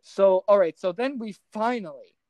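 A woman co-hosting a casual film discussion, speaking in a halting, exasperated critical way. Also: mid-range voice, warm tone, no room sound, lo-fi sound. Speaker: podcast co-host.

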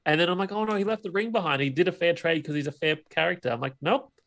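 Higher-voiced man chatting casually. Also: quick pace, vocal fry, fairly bright tone. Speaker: podcast co-host. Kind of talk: casual movie and game chat.

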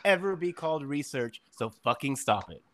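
A man's voice: fairly high pitch, animated, normal speed. A man. He talks casually and slips into mock-dramatic reading, with a sarcastic, exasperated edge and loose swearing. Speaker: podcast guest reading fliers aloud.

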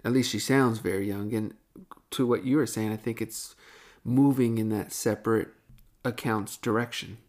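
A man's voice: fairly low pitch, fairly even intonation, moderate pace, darkish tone. A man co-hosting a casual podcast, chatty and enthusiastic, often correcting himself mid-sentence. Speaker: podcast co-host.

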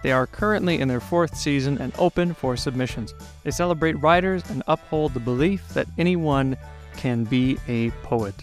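A man's voice: mid-range voice, moderate pace. A man reading a scripted introduction as a podcast host. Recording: music playing behind the voice. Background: plucked guitar theme music.

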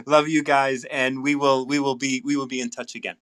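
A man's voice: medium pitch, steady tone, normal speed, fairly bright tone, no echo. A man hosting a casual live chat, speaking earnestly with many repeated words and restarts. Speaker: host.

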